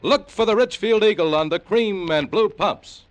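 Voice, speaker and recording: A man's voice: high voice, normal speed. An American man announcing in old-time radio promotional style, formal and emphatic, over orchestral music. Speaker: program and sponsor announcer. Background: orchestral music with timpani.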